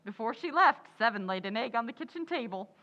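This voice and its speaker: An actress playing a flustered, scatterbrained housewife in an old-time radio comedy, exclamatory and melodramatic. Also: female voice, a little high, animated, brisk, bright, forceful tone, dull audio. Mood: weary, deadpan.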